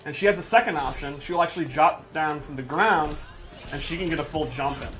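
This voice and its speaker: A man's voice: fairly high pitch, fairly quick, a bit bright, faint music behind. A man presenting gameplay in casual, unscripted commentary, explaining things with enthusiasm and emphasis.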